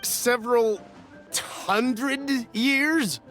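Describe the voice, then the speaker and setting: A man's voice: very high voice, drawn-out words, fairly bright tone, faint music behind, exaggerated voice. An actor playing a cautious, easily flustered male merchant in a video game, polite, wordy and nervous. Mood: wary, fretful.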